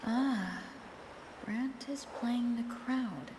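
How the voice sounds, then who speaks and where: A woman playing a calm, observant young companion in a video game, speaking in a measured manner. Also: on the high side, background noise. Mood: admiring.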